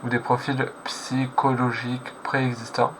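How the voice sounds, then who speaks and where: A man's voice: mid-range voice, little pitch movement, moderate pace, crowd noise. A man giving casual, slangy reaction commentary, hesitating and correcting himself as he goes. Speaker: reaction video host. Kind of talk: casual live reaction commentary, translating on the fly. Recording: clearly noisy background.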